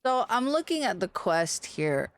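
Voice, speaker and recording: A woman's voice: mid-range voice, very animated, a little slow, dry recording. A woman giving casual, playful commentary on a gaming livestream.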